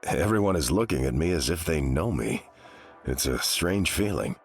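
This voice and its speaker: A man's voice: extremely low pitch, expressive, normal speed, vocal fry, husky, exaggerated voice. A man voicing an earnest, self-doubting soldier hero in a video game, speaking in a subdued way. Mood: uncertain. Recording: music behind.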